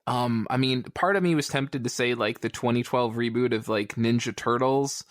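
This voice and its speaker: A man's voice: medium pitch, moderate pace, dry recording. Man chatting casually, dry podcast recording. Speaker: podcast co-host. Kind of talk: casual chat about comic books.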